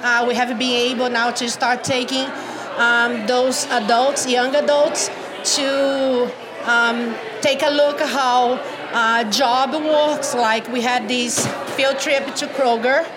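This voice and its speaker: A woman's voice: fairly high pitch, steady tone, takes it slowly, crowd noise, poor audio. A woman with a Brazilian accent, a native Portuguese speaker, explaining community programs conversationally as an interview guest. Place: video call.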